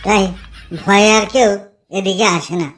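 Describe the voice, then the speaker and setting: A woman's voice: medium pitch, moderate pace, a little hiss or hum, lightly acted. A woman voicing a cheeky, foul-mouthed young village man in a cartoon comedy, teasing his drinking friends. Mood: spooky. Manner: crude, teasing, eerie when telling ghost talk.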